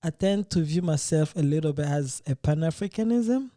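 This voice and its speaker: Male podcast host, slightly high, mellow tone, earnest and hesitant, dry close mic. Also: lively intonation, normal speed, no echo. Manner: earnest, hesitant, restarts phrases. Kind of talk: casual podcast debate.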